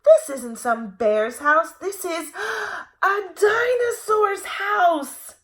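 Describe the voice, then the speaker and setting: A headstrong little storybook girl, played by a woman, greedy and sure of herself. The voice is high and bright, exclaiming with big leaps in pitch. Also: lively intonation, drawn-out words, heavily acted. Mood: alarmed realization.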